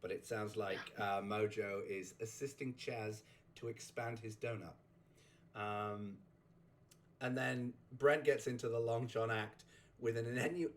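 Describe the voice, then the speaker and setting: A man with a fairly low voice hosts a relaxed recap chat. He rambles and chuckles, often breaking off to restart a sentence. His voice is just getting over a sore throat. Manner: rambling, chuckling, self-interrupting. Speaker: host. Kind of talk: casual chat recapping a game community.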